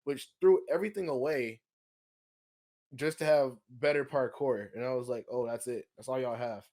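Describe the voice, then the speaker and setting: A man's voice: mid-range voice, normal speed, darkish tone. A man co-hosting a podcast, giving opinions in casual, slangy talk. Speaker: podcast co-host. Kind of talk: casual panel chat about games and films.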